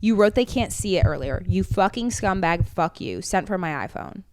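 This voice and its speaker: A young woman with a lower-pitched, smooth, clear voice. She co-hosts a casual chat, reading messages aloud in a mocking, theatrical tone. The sound is dry and close-miked. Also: lively intonation, moderate pace, a little mellow, no echo. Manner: dramatic, mocking readings with laughter. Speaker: podcast co-host.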